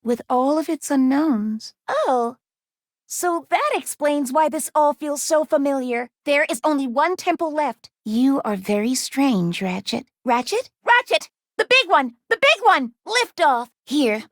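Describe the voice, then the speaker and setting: A woman voicing a gentle, anxious young female robot in a video game, speaking formally and precisely with careful diction. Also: sits high, big pitch swings, measured pace, no echo, clean studio sound. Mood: somber, subdued, then distressed, pleading, then earnest, helpful. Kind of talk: video game character voice lines.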